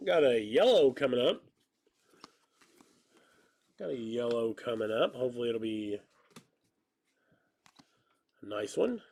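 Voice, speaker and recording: A man's voice: mid-range voice, sing-song, measured pace, vocal fry, pauses often, darkish tone. Congested thirty-something man calling card pulls. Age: 30s. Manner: rambling, joking, calls out card pulls. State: stuffy nose, slightly hoarse. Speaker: card break host. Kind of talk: casual live-stream chat while opening cards.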